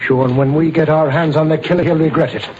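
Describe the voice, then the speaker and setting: An actor doing a sidekick, hot-tempered. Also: male voice, on the high side, fairly even intonation, quick pace, natural voice. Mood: gruff. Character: loyal, hot-tempered Irish male sidekick. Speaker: detective's partner. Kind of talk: 1940s radio acting. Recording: dull lo-fi old radio recording with hiss.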